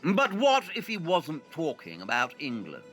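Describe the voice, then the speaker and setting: A man's voice: on the high side, huge pitch swings, a little slow, a bit bright, faint music behind, full character voice. A man playing a shrewd, precise, self-assured Victorian detective in an audio drama. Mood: dawning realisation.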